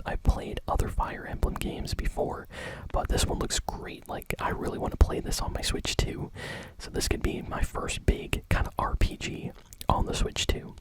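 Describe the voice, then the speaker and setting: A woman hosting a gaming news show in a soft, breathy ASMR whisper, speaking in a casual, unhurried, fan-like way. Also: very high voice, poor audio. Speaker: host of a gaming news channel.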